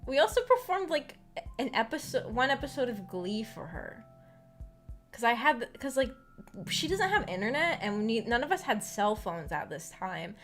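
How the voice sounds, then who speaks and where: A woman with a fairly high voice talks casually to her livestream viewers, sharing personal stories. She has a giggly, self-deprecating manner, and music plays behind her. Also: sing-song, normal speed, a bit bright. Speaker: streamer hosting a visual novel book club. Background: background music.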